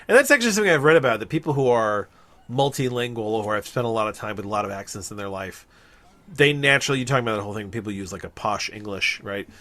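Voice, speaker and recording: A man's voice: on the low side, animated, fast talker, pushed and bright. A man co-hosting a podcast in casual chat, talking in a rambling, thoughtful way. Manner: rambling and thoughtful, with many fillers and restarts. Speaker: podcast co-host.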